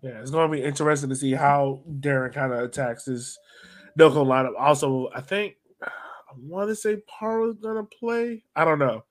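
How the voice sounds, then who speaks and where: A man's voice: fairly high pitch, normal speed. Man hosting a casual soccer podcast. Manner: conversational, poses questions to co-hosts. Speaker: podcast host.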